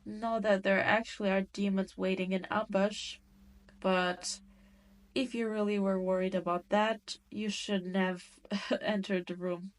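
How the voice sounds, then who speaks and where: A woman's voice: mid-range voice, flat delivery, measured pace, natural voice. A woman playing a cruel, gloating demon queen in a scripted audio roleplay, condescending and taunting. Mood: teasing, condescending.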